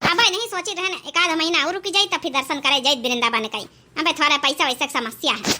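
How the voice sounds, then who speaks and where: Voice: high voice, a little fast, bright, forceful tone. A performer voicing an elderly, grumbling village aunt in a comic cartoon family drama, fussy and plain-spoken, with an Awadhi accent. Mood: grumbling, hesitant.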